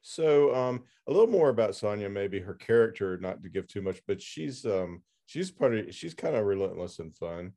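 A man hosting a podcast interview, chatting casually in an informal, somewhat halting way. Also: on the low side, animated, dark tone.

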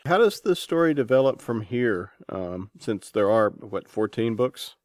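A man's voice: medium pitch, big pitch swings, unhurried, darkish tone, dry recording, studio quality. A man working as an interviewer, chatting casually with a guest.

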